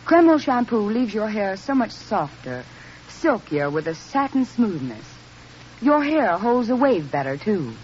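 A woman's voice: medium pitch, sing-song, a little slow, a little mellow. A woman reading a scripted 1940s radio advertisement as a spokeswoman. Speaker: commercial spokeswoman. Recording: muffled, noisy old radio recording.

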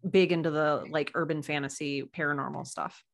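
A woman's voice: low-pitched, steady tone, a little fast, creaky. Chatty woman, casual podcast guest. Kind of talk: casual interview chat.

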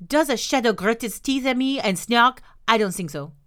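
A woman voicing a plump, excitable old farm woman in a storybook tale, urgent and sharply indignant. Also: fairly high pitch, normal speed, pitched above the performer's own voice. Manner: calls out urgently, retorts sharply.

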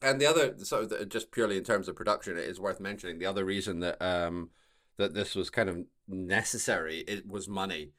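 A man co-hosting a podcast in casual discussion, speaking in a halting way and circling back over his phrases. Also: sits low, fairly bright tone. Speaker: podcast co-host.

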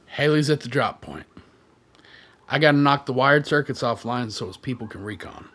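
An actor playing a gruff, cynical militia man in a radio play, blunt, plain-spoken and foul-mouthed. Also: medium pitch, expressive, moderate pace, a bit bright. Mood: businesslike.

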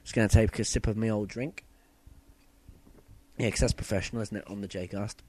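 A young man hosting a casual podcast, a bit husky from a sore throat, chatty and rambling. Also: on the low side, fairly even intonation, a little fast, darkish tone. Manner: chatty, loose and rambling, with fillers and self-corrections. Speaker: podcast host. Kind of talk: casual solo podcast chat.

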